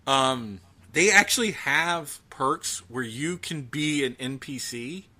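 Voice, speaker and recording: A man co-hosting a casual podcast, talking in a chatty, halting way. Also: mid-range voice, bright, forceful tone. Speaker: podcast co-host.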